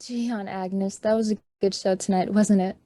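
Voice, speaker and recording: A woman's voice: medium pitch, fairly even intonation, normal speed, mellow, close to an everyday voice. A woman playing a chatty, starstruck niece in an old-time radio drama. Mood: excited, friendly.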